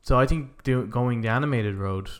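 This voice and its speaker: A man's voice: mid-range voice, moderate pace. A man co-hosting a podcast, rambling and thinking aloud in casual, opinionated chat, with some casual swearing. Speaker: podcast co-host. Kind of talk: casual opinionated chat about films and games.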